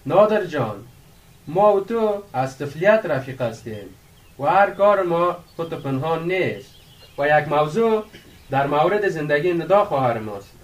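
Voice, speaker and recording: A man as an animated, curious young villager. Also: fairly high pitch, distant mic. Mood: friendly. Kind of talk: radio drama acting in dialogue.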